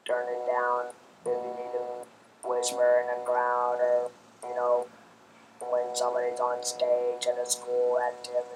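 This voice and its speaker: A man's voice: mid-range voice, rough recording. Man speaking through a buzzing electrolarynx. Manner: relaxed, practical explaining with frequent pauses. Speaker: laryngectomee sharing device tips. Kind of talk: casual explanation.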